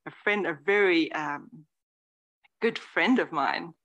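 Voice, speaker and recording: A woman in her forties gives a dharma talk in an easy, conversational way, her voice sitting a little low for a woman. She keeps it lively and drops into soft whispers for emphasis. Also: slow pace. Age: 40s. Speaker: Zen teacher giving a dharma talk. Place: meditation hall before a live audience.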